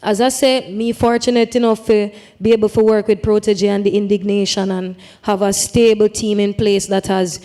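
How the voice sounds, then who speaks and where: A woman's voice: medium pitch, little pitch movement, moderate pace, few pauses, dark tone. A woman with a Jamaican accent, a music artist speaking on a conference panel, thoughtful and drifting into patois phrasing. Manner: reflective, slips into patois phrasing. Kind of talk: panel discussion.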